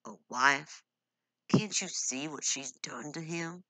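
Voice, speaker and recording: Storybook: woman narrator doing a brooding, harsh husband, bright and close, nothing roomy. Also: a little high, slow pace, exaggerated voice. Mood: harsh, angry. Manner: harsh, clipped, through gritted teeth. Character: bitter hot-tempered husband, harsh and brooding.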